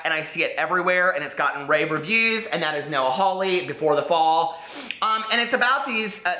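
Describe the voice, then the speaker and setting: A chatty book vlogger, a man from Indiana, talks through his reading list with a bright, forceful voice and a slight Southern drawl. His lively, sassy asides come through with noticeable room echo. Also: fairly high pitch. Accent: Midwestern American with a slight Southern drawl. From Indiana, USA. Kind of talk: casual chat to camera.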